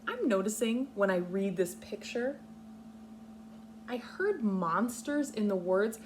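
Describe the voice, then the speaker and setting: A woman leading a read-aloud lesson for young children, speaking patiently in simple, deliberate, instructional phrases. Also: medium pitch, noisy background. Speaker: kindergarten teacher reading a picture book aloud.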